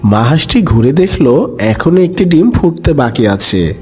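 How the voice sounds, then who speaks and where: A man's voice: a little high, very animated, a little slow, a little mellow. Male storyteller with music behind. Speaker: narrator. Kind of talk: scripted children's story narration.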